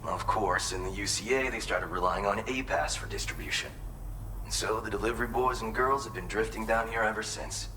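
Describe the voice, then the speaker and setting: Proud mountain porter, folksy, conversational, earnest, lowish crisp-edged voice, video game cutscene. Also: male voice, brisk, bright, forceful tone, slight background noise, low-quality recording.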